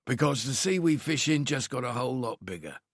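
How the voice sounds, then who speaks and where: A man voicing a calm male squad leader in an audiobook, matter-of-fact and commanding. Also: mid-range voice, a little fast, no room sound, clean studio sound. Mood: quietly assured.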